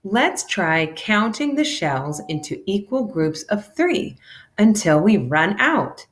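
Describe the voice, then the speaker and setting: A woman, a teacher, reading a children's book aloud in an expressive, animated way that acts out the dialogue. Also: medium pitch, slow pace.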